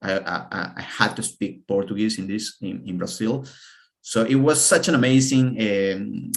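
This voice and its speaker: Man with a Peruvian Spanish accent, low voice, earnest webinar talk, repeats words, video call. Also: normal speed, gravelly. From Peru. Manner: earnest, repeats words while searching for them. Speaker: webinar presenter. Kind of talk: live online presentation.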